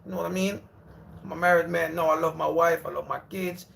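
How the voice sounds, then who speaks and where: A man hosts a casual livestream from home. His voice sits fairly high for a man. He rambles and repeats himself in a loose, friendly way, calling viewers brother and bro. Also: steady tone, fast, gravelly, a bit bright. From Jamaica. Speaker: livestream host.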